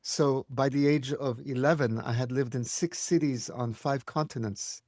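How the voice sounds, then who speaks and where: A man's voice: mid-range voice, fairly even intonation, moderate pace, a little mellow, no room sound, studio quality. A man from Paris, an interviewer speaking earnestly with a few restarts as he relates to his guest. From born in Paris, Brazilian and Finnish parents. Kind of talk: conversational interview.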